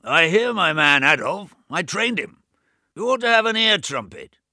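A man voicing a gruff, ailing rich man near eighty, who grumbles and boasts in a raised, complaining voice. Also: sits high, very animated, moderate pace, pushed and bright, dry recording, clean studio sound, voice pushed up, full character voice. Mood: querulous. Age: 80s.

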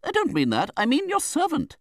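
A man's voice: very high voice, huge pitch swings, brisk, no room sound, studio quality, pitched above the performer's own voice, heavily acted. A man voicing a brusque, domineering, eccentric older great-aunt in an audiobook of a classic novel, snappish and commanding. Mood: blunt, impatient.

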